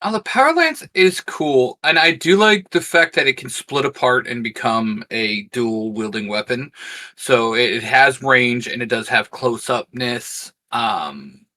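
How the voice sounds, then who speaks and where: A man co-hosting a casual geeky review, reasoning through his opinions in a measured way. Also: mid-range voice, animated, sharp tone. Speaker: co-host of a fan discussion show.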